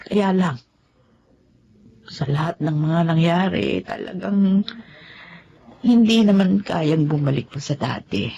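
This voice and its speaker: Matandang babaeng nagluluksa, mabagal magsalita. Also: female voice, deep voice, lively intonation, vocal fry, mellow, slightly rough, lo-fi sound, heavily acted. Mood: regretful. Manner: mournful, halting, heartfelt. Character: grieving, remorseful old woman mourning her longtime rival. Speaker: radio drama lead actor. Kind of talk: emotional Filipino radio drama acting. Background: music.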